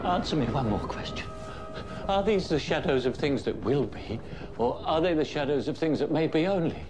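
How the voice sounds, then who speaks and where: A man's voice: on the high side, expressive, normal speed, music in the background, natural voice. A man playing an emotional old miser in a theatrical storybook drama. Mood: fearful, grave.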